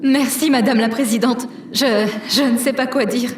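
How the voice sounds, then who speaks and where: A woman's voice: a little high, fairly even intonation, normal speed, close to an everyday voice. A woman playing a humble, deferential spaceship engineer in an audio drama. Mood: moved, grateful. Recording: echoey, distant, noisy crowd ambience.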